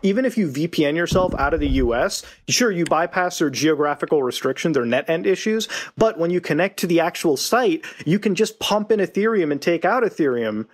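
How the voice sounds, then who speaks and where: A man's voice: on the high side, lively intonation, a little fast, hardly any pauses. A man arguing heatedly in a podcast debate, talking over the others. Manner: argumentative, talks over others.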